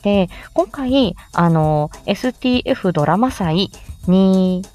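A woman's voice: medium pitch, lively intonation, takes it slowly, darkish tone, soft background music. A woman presenting an informal instructional livestream in a chatty, explanatory way. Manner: chatty, explanatory, greets listeners by name. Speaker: presenter teaching how to make voice samples; speech therapist and amateur voice actor.

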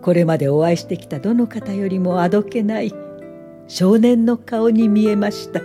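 女優が演じる 落ち着いた柔らかな声の五十代女性. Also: female voice, mid-range voice, fairly even intonation, dark tone, faint music behind, lightly acted. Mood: reflective. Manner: polite, measured, reflective storytelling. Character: thoughtful 58-year-old woman welfare official recalling her life. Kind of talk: radio drama narration and dialogue.

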